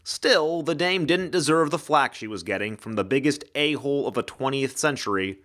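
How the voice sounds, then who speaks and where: Animated male storyteller reading mystery fiction. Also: mid-range voice, normal speed. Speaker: actor reading an audiobook-style story. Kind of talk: dramatic scripted narration of hard-boiled ghost mystery.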